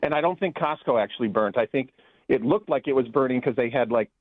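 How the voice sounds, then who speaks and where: A man's voice: medium pitch, quick pace, no echo. A man calls into a radio show, his voice squeezed thin by the phone line. He rambles and restarts his sentences, filling gaps with "you know" and "um". The sound is dry, with no room around it. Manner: rambling, many restarts and fillers. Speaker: guest.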